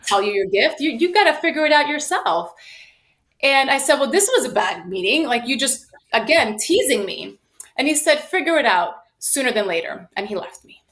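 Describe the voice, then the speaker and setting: A woman in her late thirties from the United States and Sweden, telling personal stories as an interview guest in an animated, emphatic way. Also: high voice, normal speed. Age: late 30s. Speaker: podcast guest, sales professional.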